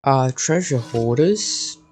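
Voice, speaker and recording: Slow-paced gamer thinking aloud. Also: medium pitch, lively intonation, darkish tone, husky. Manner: sweary, mutters to self. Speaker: video game streamer. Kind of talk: casual gameplay commentary, thinking aloud.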